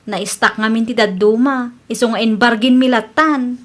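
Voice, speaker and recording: A woman's voice: on the high side, steady tone, moderate pace, close to an everyday voice. A woman playing an earnest, respectful young wife and daughter-in-law in a radio comedy drama, with an Ilocano Filipino accent. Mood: humble, earnest. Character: apologetic, humbled young married daughter-in-law.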